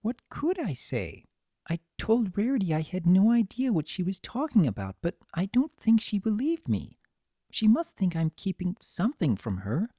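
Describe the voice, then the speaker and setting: Woman voicing a high-pitched, gentle, anxious young pony. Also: sing-song, moderate pace, no echo, studio quality. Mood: earnest, puzzled. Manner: soft, earnest, fretful.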